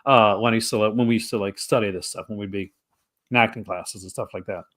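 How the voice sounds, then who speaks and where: A man co-hosting a live stream, chatting casually, rambling and laughing often, and breaking into quick character voices. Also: fairly low pitch, steady tone, a bit bright. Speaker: co-host. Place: home setup for a live stream. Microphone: desk mic for a live stream.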